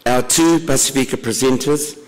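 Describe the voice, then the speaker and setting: A man introducing a conference plenary session, with dry deadpan jokes and frequent throat clearing. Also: mid-range voice, moderate pace. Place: conference hall. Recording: long hall reverb.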